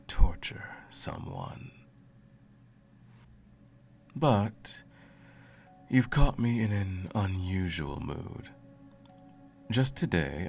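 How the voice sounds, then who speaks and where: Man acting a very deep, gravelly evil sorcerer. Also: exaggerated voice. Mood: sadistic, gloating, then musing, cruel. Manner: slow, menacing, condescending, theatrical drawl. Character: cruel, smug, all-powerful evil male sorcerer, lonely. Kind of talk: ASMR roleplay monologue. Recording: music playing under the voice. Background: music.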